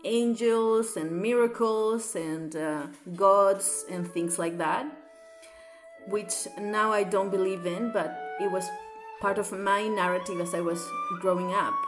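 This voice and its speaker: A woman's voice: medium pitch, steady tone, takes it slowly, music in the background. A woman from Chile with a Chilean accent, reading a survey aloud and then telling her own story with ums and uhs.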